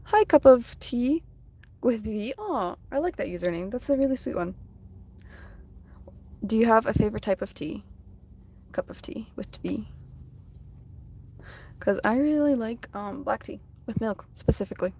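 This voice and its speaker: A woman whose first language is Russian, chatting softly on a casual live stream from her phone while she draws, reading out viewers' comments. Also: a little high, expressive, a little slow, pauses often, slightly rough. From United States. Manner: soft-spoken, chatty, reads viewer comments aloud.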